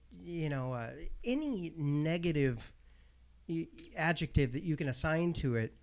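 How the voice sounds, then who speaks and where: A man in his late thirties, hosting a casual podcast conversation, speaking reflectively and haltingly with many pauses to find his words. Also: a little high. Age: 30s.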